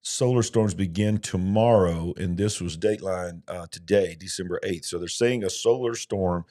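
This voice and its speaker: A man's voice: low voice, normal speed, mellow. A man in his fifties with an Alabama Southern accent, hosting casual live commentary in a folksy way, repeating himself for emphasis. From southern United States. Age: 50s. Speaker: host of a live show.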